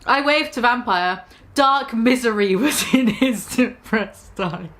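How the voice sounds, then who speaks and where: A woman's voice: fairly high pitch, slow, fairly bright tone. A woman reading a story aloud as comic dramatic narration, theatrical and amused, barely keeping a straight face.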